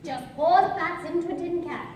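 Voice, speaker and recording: An actress playing a bright, earnest woman in wartime radio adverts on a live stage. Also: extremely high pitch, moderate pace. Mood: brisk, earnest. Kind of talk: 1940s radio advert acting. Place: stage of an opera house, live show. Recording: echoey hall, far mic, audience noise.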